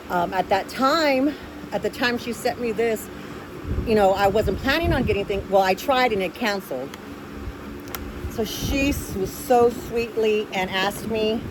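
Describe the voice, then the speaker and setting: A woman vlogger speaks in a high, excitable voice. She chats casually and effusively, as if showing off treasures to her regular viewers. There is clear ambient noise behind her. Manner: gushing, effusive, easily excited. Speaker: vlogger, host of an unboxing channel. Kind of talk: casual excited unboxing chat.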